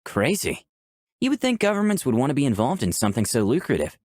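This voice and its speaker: Man as gentle young artist, animated pitch. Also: quick pace, no echo, clean studio sound. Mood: interested. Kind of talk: visual novel dialogue and first-person narration.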